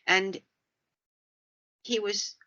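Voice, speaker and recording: A woman's voice: medium pitch, fairly even intonation, extremely slow, bright, forceful tone. A woman podcast host telling paranormal stories in a conversational, folksy way with rambling asides. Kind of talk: conversational storytelling about the paranormal. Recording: dull, lo-fi sound.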